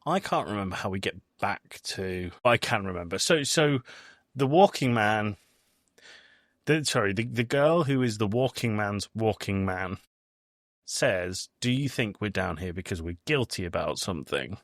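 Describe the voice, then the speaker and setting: A man's voice: lowish voice, animated, moderate pace, fairly bright tone, no echo, studio quality. A man hosting a podcast, recapping a film in casual discussion and often repeating words as he thinks. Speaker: podcast host.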